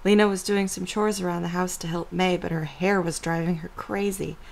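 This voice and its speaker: A woman's voice: on the low side, steady tone, a little fast, gravelly, a little mellow, low-quality recording. A woman narrator reading a scripted story for an audio drama.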